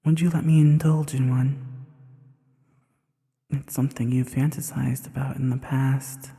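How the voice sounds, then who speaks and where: A man playing a possessive, tender evil sorcerer in an intimate audio roleplay, speaking soothingly and with soft menace straight to the listener. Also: medium pitch, little pitch movement, measured pace, gravelly. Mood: seductive, tender. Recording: long hollow reverb.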